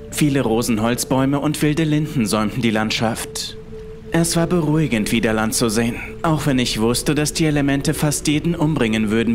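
A man reading a scripted first-person horror story as its narrator. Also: medium pitch. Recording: music behind the voice. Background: background music.

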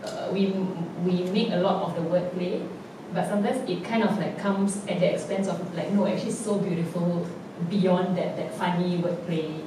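A woman's voice: on the low side, steady tone, normal speed. Casual woman moderating, echoey hall. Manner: casual, questioning, fillers like "like". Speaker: panel moderator. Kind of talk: post-show panel discussion. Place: large theatre stage. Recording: echoey theatre from a distance with noise.

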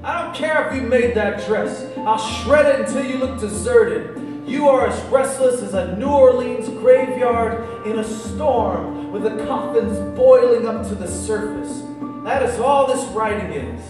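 Man, live spoken word poet, unhurried delivery, very echoey club sound. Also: very high voice, recorded from a distance, over music. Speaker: poet performing on stage. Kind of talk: live spoken word poetry reading with casual banter. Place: small music club stage, indoors. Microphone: stage microphone on a stand.

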